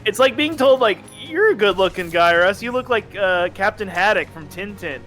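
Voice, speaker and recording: A man bantering casually as co-host, jokey and self-deprecating with quick asides. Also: high-pitched, animated, fairly bright tone, soft background music. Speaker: co-host of a gaming stream.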